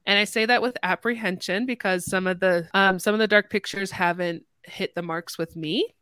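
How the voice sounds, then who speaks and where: A woman's voice: medium pitch, fairly even intonation, normal speed, fairly bright tone, smooth, no room sound. A woman hosting a casual podcast, chatting informally with the other speakers. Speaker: podcast host.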